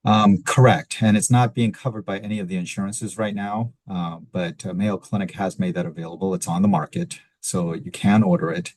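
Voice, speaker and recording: Very deep-voiced man, measured and dry. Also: fairly even intonation, darkish tone, dry recording. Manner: measured, explanatory. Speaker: physician, faculty discussant. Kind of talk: webinar Q&A. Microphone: video call.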